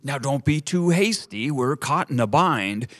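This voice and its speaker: A man voicing a flustered, desperate young bridegroom in a rhyming story, pitching his voice up and pushing louder. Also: animated, unhurried, no echo. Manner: urgent, pleading.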